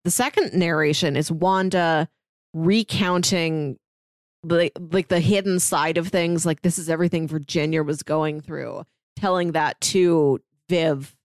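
A woman's voice: low-pitched, steady tone, measured pace, dry recording, studio quality. A woman co-hosting a podcast, talking in a casual, conversational discussion style. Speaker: podcast co-host. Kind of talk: casual comic book discussion.

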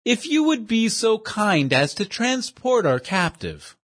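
A man voicing a portly, pompous gentleman who speaks in grand, over-formal phrases. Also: sits high, very animated, measured pace, pitched above the performer's own voice, exaggerated voice.

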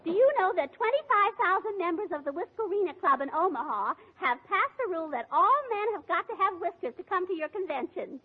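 A woman's voice: very high voice, lively intonation, brisk, hardly any pauses. A woman playing a wisecracking lady friend in a 1940s radio comedy. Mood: chatty, teasing. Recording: tinny vintage radio.

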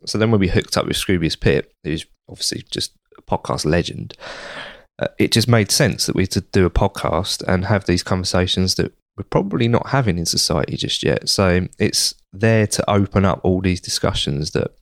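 Croaky low-voiced man, chatty guest. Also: moderate pace, dry recording, studio quality. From United Kingdom. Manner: chatty, rambling with restarts. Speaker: podcast guest. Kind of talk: casual interview answers.

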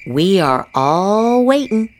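A woman's voice: medium pitch, very animated, slow pace, slight background noise. A woman hosting a show, reading political social media posts aloud with theatrical, emphatic delivery over music. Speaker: podcast host. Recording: music behind the voice.

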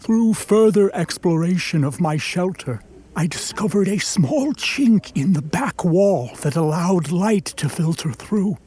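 A man plays a wounded, articulate man-made creature telling its own tale in a radio drama. The voice is high and soft-edged, and the delivery is slow, formal and full of longing. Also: expressive, breathy edge, full character voice. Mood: weary, searching. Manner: formal, literary, measured storytelling with drawn-out words. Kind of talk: dramatic radio play narration.

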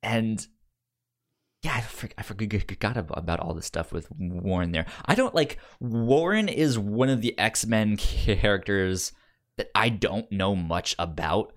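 Halting man in casual discussion. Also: on the low side, lively intonation. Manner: halting, stammering on repeated syllables and words. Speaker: podcast co-host discussing comics. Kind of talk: casual book discussion chat.

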